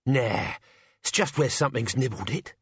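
A man's voice: medium pitch, animated, moderate pace, a bit bright, breathy edge, no echo, clean studio sound. A man voicing a cheeky, chatty male servant who talks with his mouth full.

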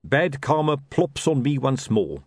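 A man's voice: mid-range voice, sing-song, a little slow, slightly rough, no room sound, studio quality, voice pushed up. A man voicing a gloomy, down-on-his-luck sausage hawker in an audiobook, with colloquial, lamenting salesman's patter. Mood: rueful. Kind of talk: audiobook character voice.

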